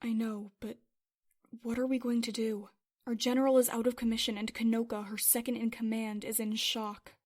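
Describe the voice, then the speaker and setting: Woman playing a worried pilot, higher, even voice. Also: normal speed, close to an everyday voice. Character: worried, self-doubting young female starship pilot. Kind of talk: Minecraft roleplay web series voice acting.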